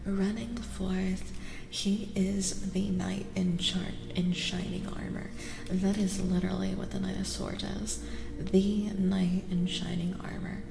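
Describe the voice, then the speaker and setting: A woman from the United States speaking softly as an ASMR tarot reader and stream host, often dropping to a whisper and addressing listeners intimately. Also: medium pitch, level tone, takes it slowly, gravelly. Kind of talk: soft-spoken ASMR tarot reading with chat asides. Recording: noisy, echoey with long reverb.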